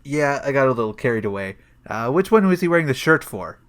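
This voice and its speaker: A man playing an awkward, joke-prone police detective in a comedic audio play, hesitant and deadpan as he rambles. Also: medium pitch, fast talker, clear, resonant tone, natural voice. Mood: flustered.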